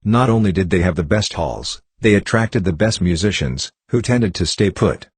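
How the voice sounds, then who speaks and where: A man reading short formal passages aloud in a measured, scripted way. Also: deep voice, expressive, breathy edge, no echo. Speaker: model reader for English exam practice.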